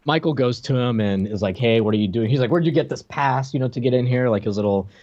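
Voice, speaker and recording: Man, mid-pitched voice, casual podcast commentary, conversational explaining, slightly lo-fi muffled sound. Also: fast talker, a little mellow. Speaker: podcast co-host. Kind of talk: casual chat, commentary on a TV episode.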